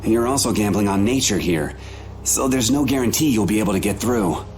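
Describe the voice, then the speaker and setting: A man's voice: on the low side, animated, brisk, a little mellow, soft background music, natural voice. A man playing a helpful, cautious family man in a video game, with a plain-spoken, folksy manner.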